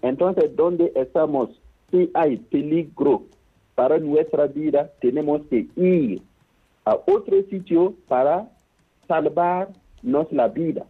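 Slow, husky priest on a phone line. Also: male voice, a little high. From Burkina Faso. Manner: slow, earnest, gentle. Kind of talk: phone interview, prayer.

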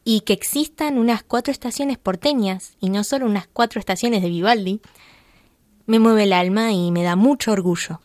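A woman's voice: mid-range voice, moderate pace, no room sound. Young argentine woman, buenos aires accent, earnest and heartfelt, dry close mic. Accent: Argentine Spanish (Buenos Aires). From Argentina, Buenos Aires area. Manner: earnest, heartfelt, with soft laughs. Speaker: violinist guest. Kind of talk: radio interview chat.

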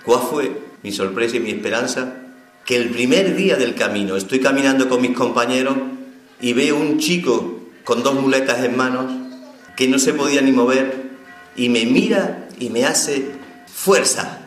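A man's voice: mid-range voice, moderate pace, echoey room, soft background music, poor audio. A man from Spain telling his personal testimony as an inmate, heartfelt and emotional.

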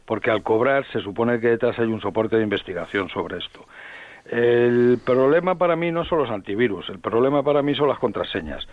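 Measured older man over a phone-like line. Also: mid-range voice, no room sound. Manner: measured, didactic, tag questions. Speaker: guest expert, retired general. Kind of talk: explaining on radio.

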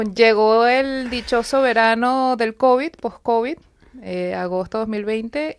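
A woman's voice: a little high, clean tone, dry recording. A woman, a radio guest telling a personal story in an earnest, flowing way, speaking in a studio. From Venezuela. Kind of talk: personal testimony in a radio interview.

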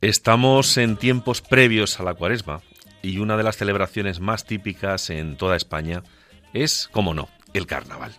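A man from central Spain with a Castilian accent and a lowish voice delivers a scripted radio monologue. He speaks in a rhetorical, emphatic, declamatory way. Music plays faintly behind him. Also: moderate pace, a bit bright. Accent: central Castilian Spanish. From La Mancha, central Spain. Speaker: radio contributor. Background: music under the voice.

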